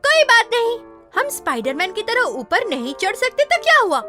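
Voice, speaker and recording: A woman's voice: very high voice, moderate pace. A woman voicing an eager, boastful, reckless young schoolboy in a children's cartoon. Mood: bold, eager. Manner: boastful, excitable. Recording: music behind the voice.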